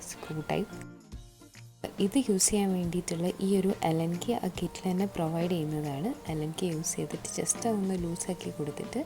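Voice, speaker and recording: Low-pitched Malayali woman presenting a tutorial over music. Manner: conversational, mixes English terms into speech. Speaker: presenter of a product installation tutorial. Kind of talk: hands-on step-by-step demonstration. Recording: music playing behind the voice. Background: clearly audible background music.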